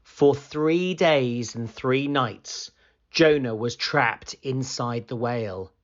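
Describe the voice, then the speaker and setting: Storybook reader, male, slow, animated read-aloud delivery, muffled lo-fi recording. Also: medium pitch. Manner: slow, expressive storytelling, rising for excitement. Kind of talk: children's picture book read-aloud.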